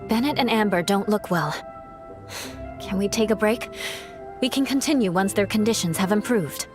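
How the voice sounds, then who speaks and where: An actress playing a composed young female knight in a video game, speaking in a measured, formal, authoritative way with cool concern. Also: medium pitch, close to an everyday voice. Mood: firm concern. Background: game music.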